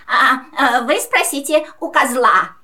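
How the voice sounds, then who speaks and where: A grumpy female goat from a children's audio play. The voice is very high and bright, with slow, deliberate delivery. She sounds bossy and unwelcoming. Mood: feigned politeness.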